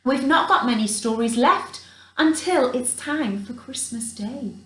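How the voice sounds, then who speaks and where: A woman reading a storybook to children in a theatrical, expressive way, adding chatty asides. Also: a little high, measured pace. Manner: theatrical, dramatic emphasis, chatty asides to young listeners. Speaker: children's storyteller in character as a Christmas elf. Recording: roomy echo, distant from the mic.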